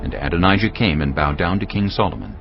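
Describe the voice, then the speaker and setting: Deep-voiced man narrating scripture, very animated pitch. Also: fast, vocal fry. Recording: dull lo-fi audio, music bed.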